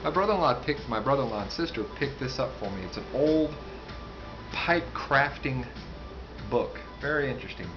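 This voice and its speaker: A man giving a casual, unscripted book review, rambling and halting, with asides that trail off. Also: medium pitch, animated. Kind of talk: casual, unscripted talk to camera. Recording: dull sound with music behind. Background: music.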